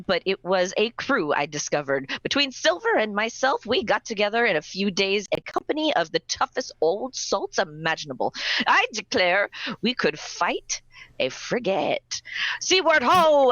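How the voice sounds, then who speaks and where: A woman playing an excitable, boastful squire reading out a letter theatrically in a radio play, slightly dull recording. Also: a little high, no room sound. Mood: exuberant. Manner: theatrical, exuberant.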